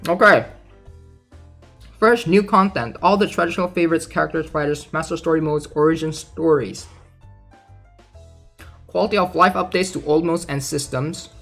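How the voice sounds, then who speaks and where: Man, fairly high voice, noticeable vocal fry, informal gaming news read-through, thinking aloud, music behind. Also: normal speed, long pauses, fairly bright tone. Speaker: gaming content creator. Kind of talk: casual commentary while reading out game news. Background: background music.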